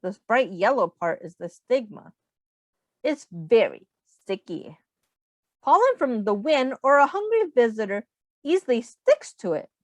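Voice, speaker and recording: A woman with a high, hugely varied voice reads aloud to young children. She teaches as she goes, taking her time, explaining in simple terms and putting questions to the listeners. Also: a little slow, no echo. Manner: instructive, expressive, addressing young children with questions.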